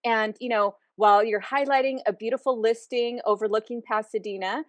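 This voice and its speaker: A woman interview host with a slightly higher voice, warmly affirming her guest and summing up their points. Her voice is recorded dry and close to the mic. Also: fairly even intonation, fairly quick, no room sound. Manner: affirming, encouraging, reflective. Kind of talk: interview conversation.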